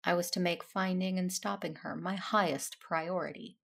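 A woman playing a dutiful, self-stern Jedi in a sci-fi audio drama, narrating in a measured, earnest way. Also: fairly low pitch, fairly even intonation, creaky, fairly bright tone. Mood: grave, introspective.

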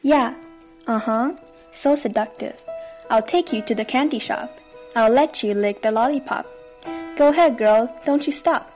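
A woman's voice: on the high side, unhurried, pauses often, a little mellow. A woman co-hosting a podcast, doing a dramatic spoken reading of rap lyrics. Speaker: podcast co-host. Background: faint music.

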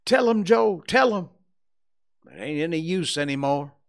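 A man's voice: a little high, fairly quick, clean tone, voice pushed up, close to an everyday voice. A man voicing a terrified, broken accused man in an audiobook, pleading and faltering through sobs. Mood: despairing plea. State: sobbing. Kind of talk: audiobook character acting.

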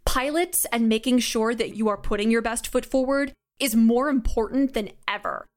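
A young woman in her late teens with a fairly high voice, speaking as a guest in a casual interview. She is chatty and candid, with a self-deprecating streak. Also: normal speed. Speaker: guest, audio drama writer and sound designer.

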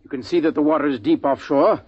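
A man's voice: fairly high pitch, fast, slightly rough. Level-headed male hunting companion, dry sardonic edge, muffled old radio drama. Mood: dryly dismissive. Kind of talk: 1950s radio drama acting. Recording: muffled, hissy 1950s radio recording.

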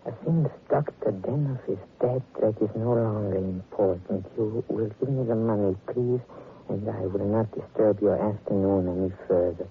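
An actor playing a suave, menacing little foreign blackmailer in 1940s radio drama style, mannered and excessively polite. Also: male voice, on the low side, fairly even intonation, moderate pace, soft background music, close to an everyday voice. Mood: veiled menace. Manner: overly polite, sly, mannered. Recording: noisy, muffled old radio recording.

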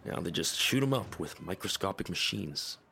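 An actor playing a weary, determined cybernetic veteran soldier in an audio drama, speaking tersely with a gravelly, husky voice. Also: male voice, lively intonation, normal speed, bright, forceful tone, exaggerated voice. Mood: grim, resolute. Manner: terse, low-key military delivery.

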